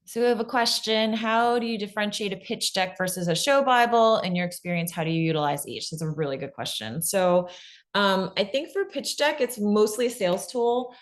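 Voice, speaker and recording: A woman's voice: mid-range voice, lively intonation, fairly quick. A woman giving an informal instructional talk as a panelist, chatty and explanatory, often dropping "like" into her sentences. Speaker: panelist, fiction podcast expert.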